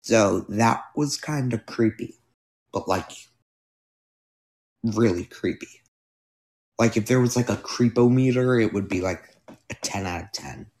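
Slow-talking young man, losing his voice. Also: lowish voice, a little mellow. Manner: rambling, self-correcting, with filler words. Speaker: podcast host. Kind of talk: casual solo podcast chat. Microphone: new microphone being tested.

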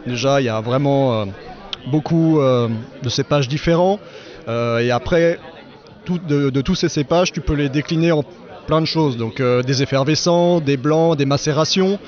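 A young man who grew up in Normandy, a vineyard worker, answering with many pauses and laughs. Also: fairly high pitch, a little mellow, lo-fi sound. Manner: hesitant, laughs often. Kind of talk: casual interview answers. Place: outdoor festival.

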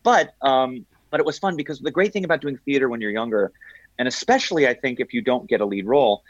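A man with a big baritone voice chatting as a podcast guest, telling rambling, self-deprecating stories with many restarts. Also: quick pace, dry recording, dull audio. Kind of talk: casual podcast chat.

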